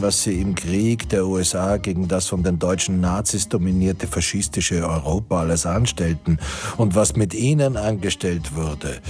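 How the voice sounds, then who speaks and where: Radio drama narrator, played by a man: deep, measured, solemn literary delivery with light music beneath. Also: a little mellow, close to an everyday voice.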